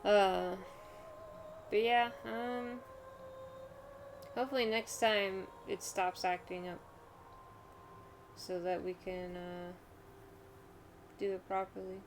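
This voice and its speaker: A woman's voice: medium pitch, level tone, slow pace, pauses often, a little hiss or hum. A woman chatting casually as a video game streamer, musing and often letting thoughts trail off.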